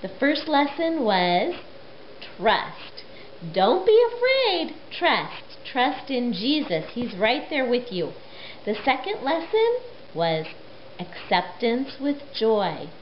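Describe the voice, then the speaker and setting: A woman telling a Bible story to children in an animated, expressive way, on a muffled recording with faint noise. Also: a little high, slow pace.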